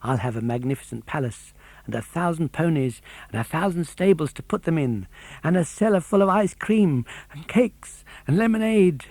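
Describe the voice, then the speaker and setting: A naive little wooden puppet boy from a storybook, played by a man reading aloud. The voice is impulsive and earnest, with pitch that swings widely in a dry recording. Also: expressive, normal speed, darkish tone, no echo. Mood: greedy excitement. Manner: wide-eyed, impulsive, earnest.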